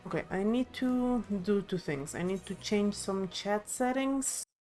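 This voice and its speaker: A woman's voice: mid-range voice, measured pace, warm tone, soft background music. A woman chatting casually as she streams a game live.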